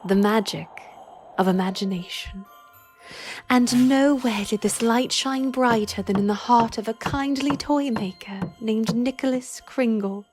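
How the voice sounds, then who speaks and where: A woman's voice: a little high, unhurried. Woman narrating a fairy tale over music. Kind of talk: storybook narration for audio drama trailer.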